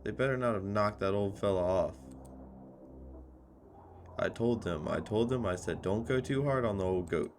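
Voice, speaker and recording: A man's voice: lowish voice, normal speed, long pauses, close to an everyday voice. A man voicing a worried, fretful getaway driver for a robber gang in a visual novel game. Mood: worried impatient. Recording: music behind the voice.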